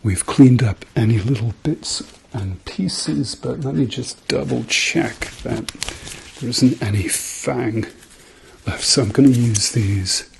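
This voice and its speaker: A man speaking softly to the listener as a doctor in an ASMR medical roleplay, murmuring reassuringly and often slipping into whispers. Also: mid-range voice, slow, gravelly, background noise. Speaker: ASMR performer playing a doctor.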